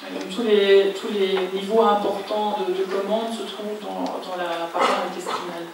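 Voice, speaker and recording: A woman's voice: mid-range voice, little pitch movement, moderate pace, gravelly, noisy background. Hesitant woman interpreter, echoey hall. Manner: hesitant, many fillers and self-corrections. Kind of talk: consecutive interpreting. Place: lecture hall at a business school. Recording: echoey lecture hall from far off.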